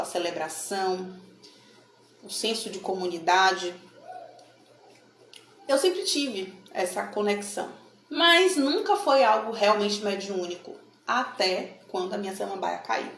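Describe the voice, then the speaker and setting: A woman's voice: mid-range voice, vocal fry. A middle-aged woman from Salvador with a Bahian accent, talking casually to camera in a room at home about her card readings, often adding tag questions. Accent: Bahian Brazilian Portuguese. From Salvador, Bahia, Brazil. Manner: chatty, frequent tag questions. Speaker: tarot reader and channel host. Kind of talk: casual explanatory talk to camera. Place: room at home with a plant and altar. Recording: echoey room, faint noise.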